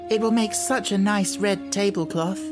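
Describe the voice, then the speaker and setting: A woman voicing an imaginative, kind young girl with an excited, earnest manner in an audiobook. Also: medium pitch, normal speed, music in the background, pitched above the performer's own voice, lightly acted. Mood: delighted. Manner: excited, earnest, make-believe playfulness. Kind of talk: audiobook character acting.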